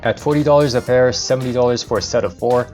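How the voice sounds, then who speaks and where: A man's voice: mid-range voice, fairly even intonation, fast talker, darkish tone, faint music behind. A young man hosting a vlog, narrating a casual product review.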